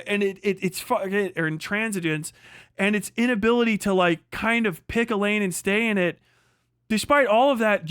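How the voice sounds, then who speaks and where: A man's voice: high voice, a bit bright, no room sound, clean studio sound. A man hosting a livestream, giving an unscripted, opinionated rant in an emphatic, repetitive, heavily profane way. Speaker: livestream host.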